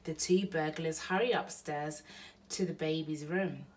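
A woman's voice: sits low, steady tone, unhurried, slight background noise. A young woman reading a children's story aloud at home as a storyteller. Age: young adult. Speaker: storyteller mother.

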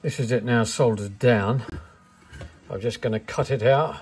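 A man's voice: mid-range voice, measured pace, heavy background noise. An older man, a silversmith, explaining each step plainly as he works at his bench in a small workshop. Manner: practical, plain-spoken, step-by-step.

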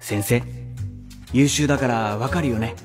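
Slow, echoing, officious vice-principal. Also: male voice, medium pitch. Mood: pressing. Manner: halting, officious, with drawled hesitations. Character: middle-aged male vice-principal, evasive, condescending, officious. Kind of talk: Japanese radio drama acting. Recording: long reverb, music underneath.

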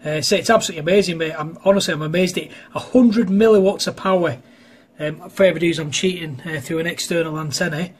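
A man's voice: fairly high pitch, fast, husky. A man chatting casually as an amateur radio operator, recorded indoors at his radio desk. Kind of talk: casual two-way radio chat.

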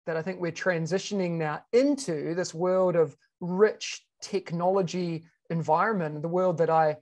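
A man's voice: fairly high pitch, moderate pace, a little mellow. A man in his thirties with a New Zealand accent, speaking on a video-call panel as an education consultant, filling his talk with "you know" and restarts. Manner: conversational, frequent "you know" fillers and restarts. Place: home room. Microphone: video call webcam.

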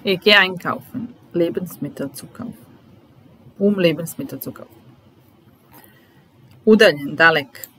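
Slow, low-voiced woman teaching. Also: a bit bright, breathy edge, poor audio. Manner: deliberate, repetitive, instructional. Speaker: language teacher. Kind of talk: vocabulary lesson, word-by-word dictation with repeated phrases. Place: small room indoors. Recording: background noise with keyboard typing.

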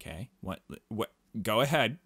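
Lowish-voiced man doing joking game commentary. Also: big pitch swings, normal speed, a bit bright, breathy edge, no echo, studio quality. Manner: joking, exclamatory asides. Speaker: gaming let's play host. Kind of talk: casual playthrough commentary, reading dialogue aloud.

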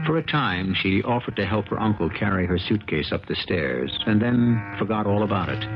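Deep, theatrical male radio mystery host. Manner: ominous, theatrical storyteller. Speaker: host and narrator. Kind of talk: dramatic scripted radio narration. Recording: muffled, crackly 1970s radio recording. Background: loud dramatic music.

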